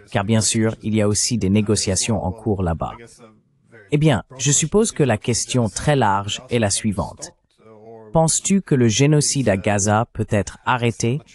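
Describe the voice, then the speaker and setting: A man's voice: fairly low pitch, darkish tone. A man voicing an interviewer's questions in a dubbed political interview. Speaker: voice-over translator of the interviewer.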